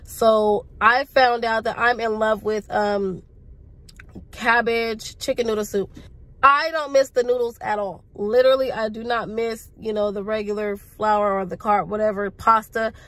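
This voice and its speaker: A woman's voice: a little high, measured pace, no room sound. Stuffy-voiced woman vlogging from her car. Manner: chatty, rambling, lively slang. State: sick, congested voice. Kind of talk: casual chat to camera. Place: inside a parked car. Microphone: phone camera at arm's length.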